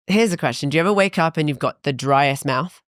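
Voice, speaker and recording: A woman in her thirties, a fitness coach, chatting in a podcast interview, animated and quick with asides. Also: deep voice, no room sound, studio quality.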